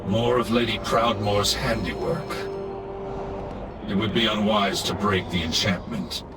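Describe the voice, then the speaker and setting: An actor playing a grim, resolute undead knight commander in a fantasy video game, solemn and commanding. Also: male voice, fairly low pitch, measured pace, recorded from a distance, natural voice. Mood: watchful. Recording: game music loud behind.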